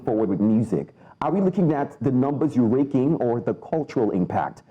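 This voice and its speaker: Male TV host, quick upbeat delivery, dark tone, dull audio. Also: mid-range voice, very animated, music in the background. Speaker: news show host. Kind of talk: TV news discussion.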